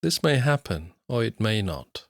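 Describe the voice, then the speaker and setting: A man guiding a body scan meditation, speaking slowly and calmly with long pauses. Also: on the low side, gravelly, no echo, clean studio sound. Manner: slow, calm, soothing, with long pauses. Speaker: mindfulness teacher.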